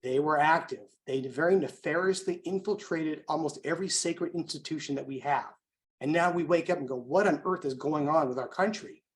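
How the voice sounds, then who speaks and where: An American man speaking as an event host, delivering an emphatic, rhetorical motivational introduction with deliberate phrasing. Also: a little high. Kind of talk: motivational speech introducing a guest.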